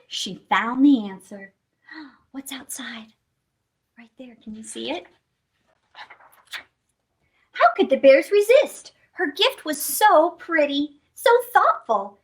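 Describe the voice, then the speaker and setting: A woman hosting children's storytime, reading expressively and playfully, putting questions to young listeners. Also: high voice. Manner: expressive, playful, asks listeners questions and adds sound effects. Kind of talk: animated picture-book read-aloud for young children.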